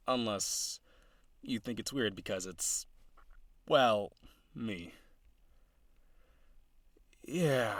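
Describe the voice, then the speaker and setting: A man's voice: lowish voice, no echo, full character voice. A man playing an awkward, businesslike villain on a blind date in an audio roleplay, talking to the listener in a hesitant, dry, earnestly formal way. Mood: awkward, resigned.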